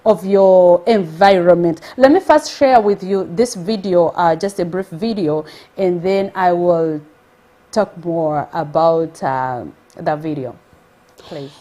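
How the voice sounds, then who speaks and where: A woman's voice: lowish voice, slow, dark tone. A woman from Uganda with a Ugandan accent, hosting a motivational live stream and speaking with energy, exclaiming and repeating her points for emphasis.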